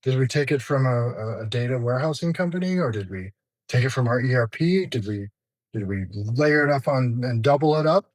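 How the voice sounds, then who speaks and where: A man's voice: mid-range voice, expressive, brisk, dry recording. A man speaking as an expert interview guest, explaining informally and listing points in a conversational way.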